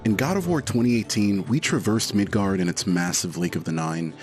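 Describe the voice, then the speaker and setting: A man's voice: on the low side, brisk. A man reading scripted narration as a games journalist, with music behind him.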